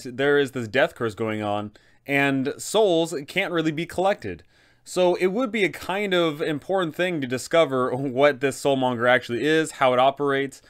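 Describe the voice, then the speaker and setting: A man's voice: mid-range voice, a bit bright. A young man, presenting tabletop game advice in an emphatic, explanatory way. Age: young adult. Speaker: host, game master advisor. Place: small furnished room indoors.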